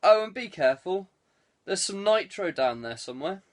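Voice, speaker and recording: A man's voice: sits high, lively intonation, normal speed, voice pushed up. A man voicing a wry, teasing saboteur given to sardonic banter in an audiobook. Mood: mock-exasperated. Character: wry, teasing male saboteur, ribbing his friend.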